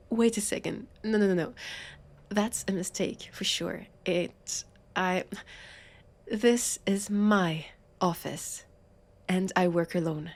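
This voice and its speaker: A woman acting as a female vampire detective with a French accent, speaking at a slow, halting pace. She is brusque, sweary and sarcastic, easily flustered, in the manner of an audio roleplay drama. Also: on the low side. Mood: disbelieving, flustered.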